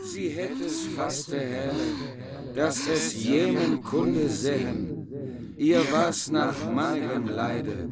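A man performing medieval verse in a drawn-out, swooping recitation. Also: on the high side, big pitch swings, over a noisy crowd.

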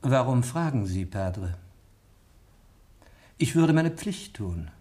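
An actor playing a genial, teasing village doctor in a radio drama, bantering yet measured in his delivery. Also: medium pitch, big pitch swings, darkish tone. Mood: measured, serious.

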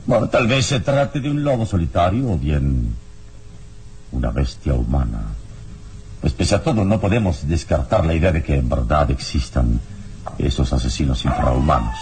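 An actor playing a calm, commanding heroic adventurer in a radio serial, speaking in a measured, formal, authoritative way. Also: male voice, rumbling low, slight background noise. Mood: grave, composed. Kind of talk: radio serial drama acting.

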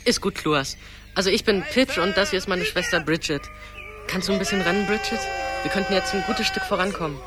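A man's voice: high voice, brisk, natural voice. A young man acting an earnest, kindly boy, the protective older brother in a radio play. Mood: friendly. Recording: music behind the voice.

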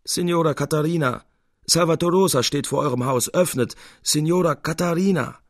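A man's voice: on the high side, moderate pace, no room sound, clean studio sound, pitched above the performer's own voice, lightly acted. A man playing a weary, grumbling, penniless male painter who speaks urgently in a literary story reading. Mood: calling out. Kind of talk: literary audiobook character voice.

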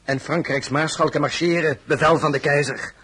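An actor playing a stern, proud French marshal in a radio drama, speaking in a husky voice with a grave, terse, deliberate manner. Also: male voice, a little high, fairly even intonation, fairly bright tone. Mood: grim, resolute. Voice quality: husky, breathy.

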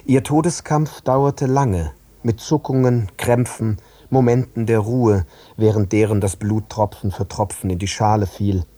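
A man narrating scripted literary prose in an audio drama, phrase by measured phrase. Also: lowish voice, steady tone, warm tone, dry recording.

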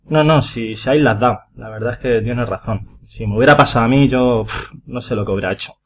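A man's voice: medium pitch, fast, fairly bright tone, lightly acted. A man from Spain acting an exasperated, bewildered betrayed lover in a radio farce, agitated and colloquial, with a Spanish accent. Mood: aggrieved. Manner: agitated, colloquial, repeating himself. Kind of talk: radio play comedy acting.

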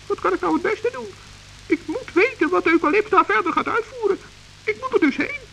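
A woman's voice: extremely high pitch, lively intonation, fairly quick, gravelly, sharp tone, slight background noise, heavily acted, rough recording. A woman voicing a small, timid male forest gnome in a children's radio play, who stammers and frets aloud to himself. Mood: dismayed. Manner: fretful, stammering, talks to himself.

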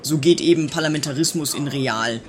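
A man's voice: fairly high pitch, fairly quick, natural voice. A man playing a fussy, placating attendant to a guru in a comedy radio play, forever explaining.

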